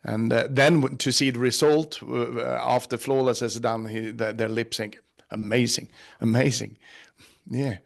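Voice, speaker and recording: A man with a Swedish accent, a native Swedish speaker, answering interview questions in halting English. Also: medium pitch, steady tone, creaky, no room sound, clean studio sound. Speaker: actor interviewee.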